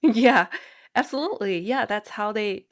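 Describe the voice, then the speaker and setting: A woman's voice: medium pitch, moderate pace. A woman from Malaysia, a counselor being interviewed, talking in a chatty way and leaning on "like" often. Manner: chatty, leans on "like" as a filler, relaxed non-native-sounding grammar. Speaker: guest, mental health counselor. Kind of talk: casual interview talk.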